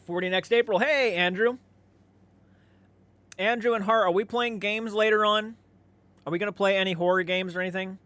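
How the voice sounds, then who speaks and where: Higher-pitched man chatting casually on a livestream. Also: fairly even intonation, moderate pace, pauses often, fairly bright tone, no room sound. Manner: laid-back, conversational, swears freely. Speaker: livestream host. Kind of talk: casual livestream chat, reading and answering viewer comments.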